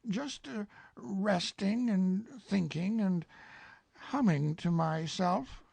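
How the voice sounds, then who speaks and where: A woman voicing a gentle, simple male teddy bear who speaks in a slow, plaintive way. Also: mid-range voice, gravelly, no room sound, pitched above the performer's own voice, full character voice.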